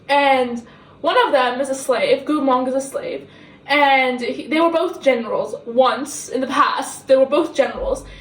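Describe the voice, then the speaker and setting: A young woman from the Middle East who is not a native English speaker. She excitedly rambles through a book review, laughing often and mocking herself. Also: high-pitched. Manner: giggly, excitable, self-mocking. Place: kitchen. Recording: echoey kitchen, camera at a distance.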